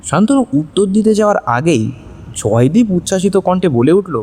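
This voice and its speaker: A male narrator reads a scripted audio story. His voice is slightly high and softly mellow, with faint ambient noise underneath. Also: sing-song, brisk.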